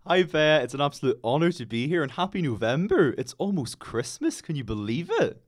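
A man's voice: a little high, big pitch swings, fairly quick. A young man with a Northern Irish accent, a guest on a radio interview, talking in an upbeat, chatty and polite way. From Northern Ireland. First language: English. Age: young adult. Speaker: radio guest, student teacher.

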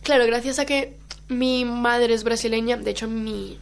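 A woman's voice: a little high, steady tone, normal speed, creaky. A woman from Colombia whose first language is Brazilian Portuguese, chatting casually as a guest and laughing often. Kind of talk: casual chat.

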